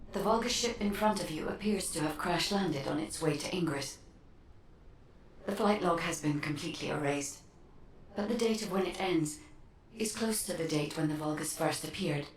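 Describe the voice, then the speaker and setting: An actress playing a calm, analytical guide in a video game, explaining in a measured way. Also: female voice, lowish voice, a little hiss or hum, natural voice. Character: calm, analytical female guide advising a hero. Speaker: intelligence guide. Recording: echoey, distant, faint background ambience.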